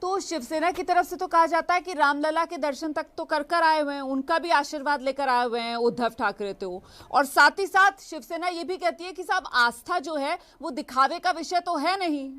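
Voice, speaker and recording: A woman's voice: high-pitched, normal speed, few pauses, fairly bright tone. A woman news anchor putting direct, probing questions in a political TV interview and often interjecting.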